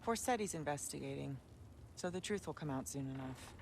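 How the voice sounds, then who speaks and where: A woman's voice: sits low, animated, brisk, vocal fry, darkish tone, slight background noise. An actress playing a proud, guarded queen and protective mother who speaks in a cool, clipped, sarcastic way.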